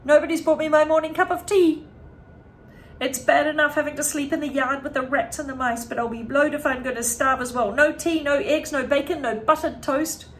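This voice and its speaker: A woman voicing a greedy, bossy, enormous old grandmother who shouts and scolds in a storybook reading. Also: a little high, big pitch swings, a little slow, a little hiss or hum. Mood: bossy shouting. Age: older. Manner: shouting, scolding, self-pitying.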